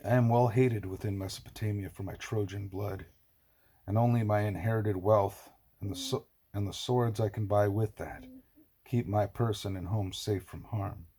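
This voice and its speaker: A man voicing a bitter, scornful nobleman in an audiobook, speaking forcefully and mockingly in a husky voice. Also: on the low side, steady tone, moderate pace. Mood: bitter pride. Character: bitter, scornful, wealthy half-Trojan man.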